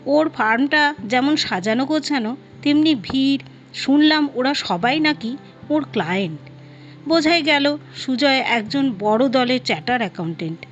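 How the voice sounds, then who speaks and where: A woman with a high voice reading a story aloud in an expressive, dramatized narration. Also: moderate pace. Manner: expressive, acts out dialogue. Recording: dull lo-fi audio, music behind. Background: background music.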